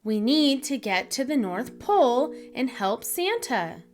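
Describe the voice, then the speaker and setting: A woman's voice: high voice, very animated, unhurried. A woman voicing a confident, can-do little boy hero in a children's storybook read-aloud. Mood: eager, confident.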